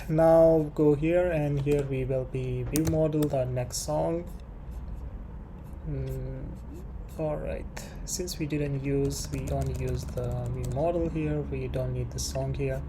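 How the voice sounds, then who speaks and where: Medium-pitched voice, programming tutorial presenter, halting, thinking aloud, clear background noise, keyboard typing. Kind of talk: unscripted screen-share coding tutorial.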